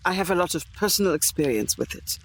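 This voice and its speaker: A man playing a tabletop game in character, speaking hesitantly with careful, polite phrasing and a husky, creaky voice. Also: high voice, lively intonation, fast, fairly bright tone, a little hiss or hum, low-quality recording. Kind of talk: improvised in-character game talk.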